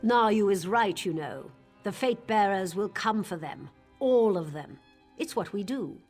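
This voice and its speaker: A woman voicing a calm, composed woman cult killer in a game who claims to see every possible fate. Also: mid-range voice, a little slow, soft background music.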